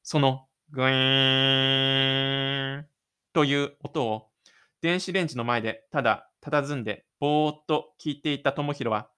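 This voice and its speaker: A man's voice: mid-range voice, fairly even intonation, unhurried, sharp tone, clean tone. A man narrating a scripted audio drama in a storytelling style.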